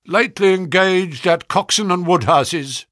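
A man voicing a brisk, flattering financial agent in a story, speaking sharply with grand, pushy salesmanship. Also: a little high, breathy edge, no echo, studio quality, voice pushed up, exaggerated voice. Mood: brisk, probing. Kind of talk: audiobook character dialogue.

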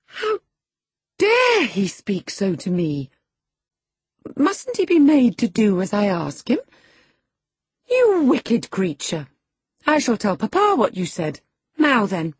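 A woman's voice: a little high, wildly swooping pitch, measured pace, pauses often, slightly rough, no echo, voice pushed up, exaggerated voice. A woman voicing a spoiled, haughty young girl with a demanding, indignant manner in an audiobook. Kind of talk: dramatised audiobook character.

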